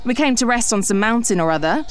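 A woman playing a sharp, anxious, wryly exasperated wife aboard Noah's Ark in an audio comedy drama. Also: a little high, expressive, fast talker, close to an everyday voice. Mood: dry, wry. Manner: urgent, exasperated in scenes, dry in narration.

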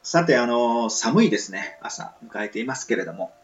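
Man, podcast host, animated intonation, polite and self-deprecating, hesitant delivery, guitar music behind. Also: medium pitch, highs cut off. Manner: halting, apologetic, self-deprecating; polite and humble. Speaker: podcast host introducing web novels. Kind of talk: casual solo radio talk.

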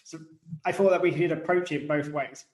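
A man in his thirties with a softened working-class British accent, chatting casually as a guest about his work, self-deprecating and given to digressions. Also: a little high, fairly even intonation, moderate pace. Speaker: guest author. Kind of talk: casual interview talk. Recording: echoey room.

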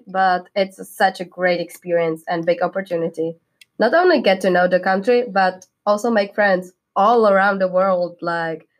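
Young Czech woman with a light laugh. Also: mid-range voice. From Czech Republic. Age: young adult. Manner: upbeat, laughs often, careful non-native English. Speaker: exchange student guest. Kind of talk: casual podcast chat.

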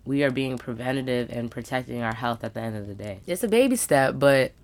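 A woman's voice: rumbling low, animated, brisk, darkish tone. A woman in her twenties hosting a solo podcast, talking in a casual, slangy, upbeat way with emphatic asides. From United States. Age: 20s. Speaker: podcast host.

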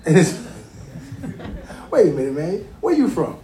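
A male pastor speaks in a fairly high voice, laughing easily and pressing his points with emphasis. His voice rings around a reverberant church room. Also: big pitch swings, measured pace, dark tone. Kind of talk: church service welcome and closing prayer. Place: church sanctuary.

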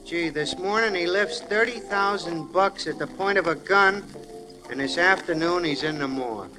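Guy doing folksy barkeep, high voice, bad grammar, comic drawl, old radio show with music behind. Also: natural voice, rough recording. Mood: musing. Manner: mangled grammar, drawn-out comic delivery. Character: folksy, slangy male bartender, amiable and plain-thinking. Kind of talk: 1940s radio crime drama acting.

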